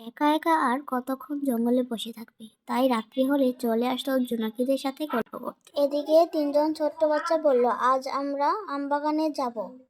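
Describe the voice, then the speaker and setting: Female storybook narrator, high pitched, scripted delivery, for young children. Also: steady tone, moderate pace, darkish tone.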